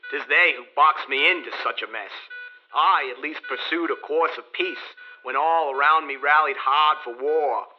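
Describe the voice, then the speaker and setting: A man's voice: on the high side, moderate pace, dull audio, lightly acted. An actor playing a proud, defensive fallen king in a radio drama, speaking indignant, formal archaic verse. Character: proud, defensive dead king awakened in Avalon.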